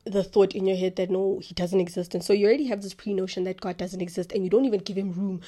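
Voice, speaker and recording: A young woman hosting a podcast, talking about faith in a chatty, rambling way full of fillers, with a naturally deep voice. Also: fairly even intonation, quick pace, warm tone. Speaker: podcast host. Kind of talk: casual faith talk.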